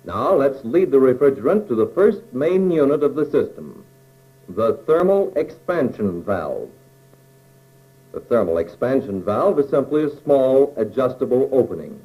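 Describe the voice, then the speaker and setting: An American man reading scripted instructional narration for a training film in a measured, explanatory way, with plain phrasing. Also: medium pitch, very animated, dark tone, low-quality recording.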